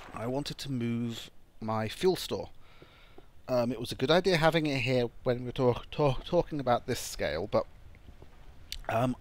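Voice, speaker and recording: A man with a croaky, phlegmy voice from a lingering cold narrates his gameplay in a relaxed let's-play style. He thinks aloud as he goes and halts often on ums and restarts. His pitch sits in an ordinary middle range. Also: a little hiss or hum. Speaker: gameplay channel host. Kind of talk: casual let's-play commentary.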